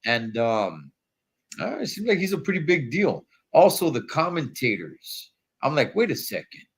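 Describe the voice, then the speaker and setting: A man's voice: on the high side, wildly swooping pitch, normal speed, vocal fry, breathy edge. A man with a stuffy nose from allergies, hosting a casual wrestling livestream from a hotel room in laid-back slangy talk. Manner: laid-back slangy talk, calls viewers dog and Holmes.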